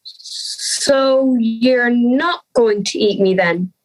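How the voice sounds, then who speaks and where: A woman's voice: a little high, very slow, low-quality recording. A young woman playing an earnest, anxious schoolgirl in an audio drama. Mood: bemused, apologetic.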